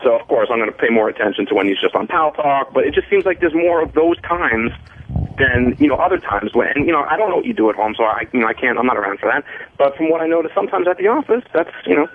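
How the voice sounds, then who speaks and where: Male radio staffer, rapid delivery, narrow phone-like audio, critical yet hedging. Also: medium pitch, expressive, slight background noise. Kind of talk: casual on-air chat. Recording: thin phone-like radio sound.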